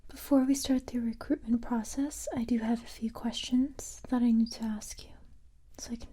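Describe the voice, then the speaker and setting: A woman voicing a calm, sympathetic female special-forces recruiter in a soft-spoken ASMR roleplay, gentle and reassuring in a breathy whisper. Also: on the high side, moderate pace. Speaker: ASMR creator playing a roleplay character.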